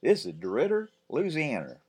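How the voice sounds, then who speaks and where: A man with a deep voice narrating, here voicing a chatty, cheeky ten-year-old country boy in a raised pitch. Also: wildly swooping pitch, normal speed, darkish tone, husky, full character voice.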